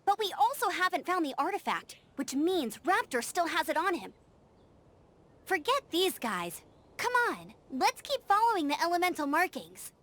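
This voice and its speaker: Game VO: an energetic young female scout, very high and lively, music bed behind. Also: full character voice. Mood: curious, determined. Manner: energetic, quick to take charge. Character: peppy young female scout, eager and bossy. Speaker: game character. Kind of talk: video game voice acting.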